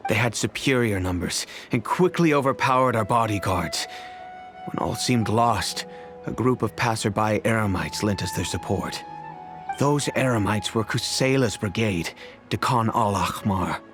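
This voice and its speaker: An actor playing a grave older patriarch of a wealthy family, speaking in a measured, solemn storytelling manner. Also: male voice, fairly low pitch, music in the background, close to an everyday voice. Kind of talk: video game voice acting.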